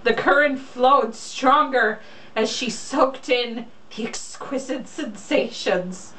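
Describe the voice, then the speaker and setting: A woman's voice: sits high, takes it slowly, a bit bright. A young woman reading a romance novel aloud casually, in a mocking, irreverent way. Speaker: reader. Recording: echoey room, distant mic, faint noise.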